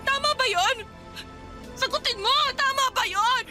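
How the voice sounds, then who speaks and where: A woman's voice: very high voice, measured pace, bright, forceful tone, soft background music, exaggerated voice. A woman playing a hurt, angry young girlfriend with a Filipino accent in a radio drama, tearfully accusing and questioning her cheating boyfriend. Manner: accusing, pleading with repeated questions.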